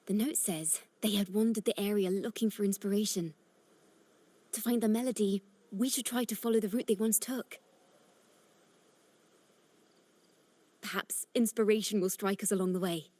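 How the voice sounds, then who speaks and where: A woman voicing a gentle, earnest young bard in a video game story, speaking in a measured, contemplative and poetic way. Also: medium pitch, no room sound, lightly acted. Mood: pensive. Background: background music.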